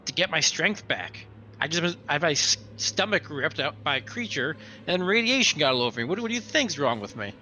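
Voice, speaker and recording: A man's voice: fairly high pitch, big pitch swings, fairly quick, pushed and bright, slight background noise, rough recording. A man playing a tabletop roleplaying game, improvising his character's talk in a casual way.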